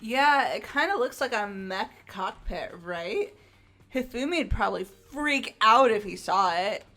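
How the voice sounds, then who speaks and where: Woman as chirpy, jittery schoolgirl sidekick for a game, high voice, slangy and casual, faint music bed. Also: animated, unhurried, bright, forceful tone, exaggerated voice. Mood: curious. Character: Hina, bubbly, naive, nervous teenage schoolgirl. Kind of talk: acted visual novel game dialogue. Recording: music behind the voice.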